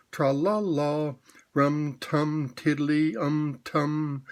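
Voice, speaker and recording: A man voicing a slow, humming, food-loving bear, with a low, unhurried, sing-song manner.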